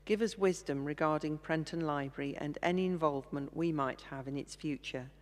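A woman leading intercession prayers in a church service, reading slowly and steadily at a stand microphone. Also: low-pitched. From England. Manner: slow, measured reading. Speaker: intercessions leader. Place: large church, indoors. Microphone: stand mic, far camera. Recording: long church reverb.

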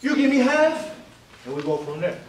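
Actor for cocky gangster supplier in a stage play, slangy and deliberate, distant mic in a heavily echoing theatre. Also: male voice, very high voice, very animated. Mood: businesslike, cocky. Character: smooth-talking, menacing drug supplier man. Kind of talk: urban stage play acting. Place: on stage in a theatre, far camera. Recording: very echoey noisy theatre, far from microphone.

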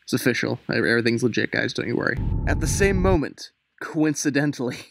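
A man's voice: medium pitch, animated, quick pace, gravelly, noisy background. A young man giving a mock-dramatic story reading, theatrical, breaking into casual wry asides. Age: young adult. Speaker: host reading own childhood story. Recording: music under the voice. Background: music.

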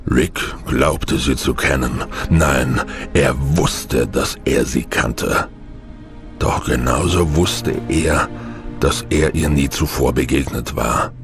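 A man's voice: very deep voice, takes it slowly, full character voice. A man narrating a science fiction audio drama in a dramatic, scripted style. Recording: music behind the narration.

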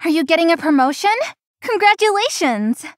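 A woman playing a gentle, dutiful young daughter in a visual novel. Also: very high-pitched, animated, moderate pace, no echo, clean studio sound. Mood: playful. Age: young adult.

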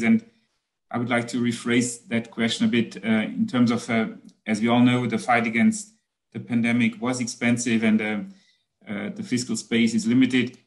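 A man moderating a webinar panel over a video call, hesitant with many fillers. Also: mid-range voice.

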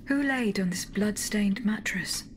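An actress playing a resolute female automaton in a video game, speaking in a formal, measured and terse way. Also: medium pitch, a little hiss or hum, close to an everyday voice. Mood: probing, reserved. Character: poised, resolute female clockwork automaton huntress. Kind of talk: video game cutscene acting.

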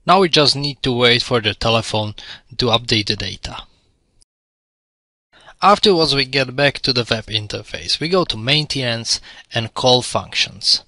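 A man's voice: medium pitch, lively intonation, normal speed, sharp tone, dry recording. A man narrating an instructional product demo in a friendly, step-by-step explanatory way. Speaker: tutorial presenter.

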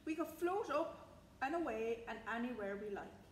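A woman's voice: fairly high pitch, expressive, moderate pace, pitched above the performer's own voice, close to an everyday voice. A woman voicing a wistful male bus driver who longs for adventure, in a children's storybook reading. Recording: echoey room with faint background noise.

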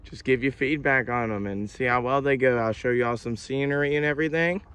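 Young west virginia guy filming his hike on a phone, laid back and chatty, bright tone. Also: mid-range voice, fairly quick, clear, resonant tone. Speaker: vlogger. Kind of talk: casual hiking vlog. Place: outdoors on a wooded trail.

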